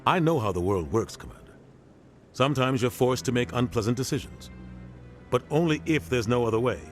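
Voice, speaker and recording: An actor playing a seasoned, middle-aged military mentor in a video game, speaking in a measured, grave, matter-of-fact way. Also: male voice, medium pitch, animated, natural voice. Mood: grim, condemning. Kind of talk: scripted game dialogue. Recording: game dialogue over background music.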